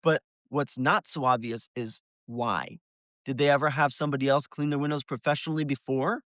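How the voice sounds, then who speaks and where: A man's voice: mid-range voice, fairly even intonation, a little fast, fairly bright tone, no echo, clean studio sound. A man presenting an instructional video, explaining things step by step and addressing the listener directly. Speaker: window cleaner presenting a business tutorial. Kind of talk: instructional video narration to viewers.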